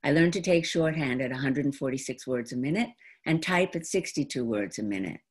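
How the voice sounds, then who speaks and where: An American woman in her seventies performing an autobiographical monologue in deliberate, dramatic phrases with pauses. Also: rumbling low, lively intonation, husky. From New York, United States. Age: 70s. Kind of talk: theatrical autobiographical storytelling monologue. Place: indoor room at home. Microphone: computer mic on a video call.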